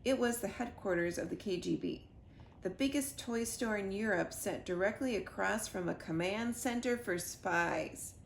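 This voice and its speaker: A woman narrating a children's storybook in an expressive read-aloud style. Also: mid-range voice, normal speed, vocal fry. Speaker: storybook reader. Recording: echoey room with faint noise.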